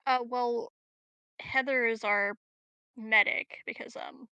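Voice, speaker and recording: A woman's voice: on the high side, steady tone, slow, bright, forceful tone, lo-fi sound, a little hiss or hum. A woman chatting casually as a player in a tabletop roleplaying game, explaining herself a little hesitantly.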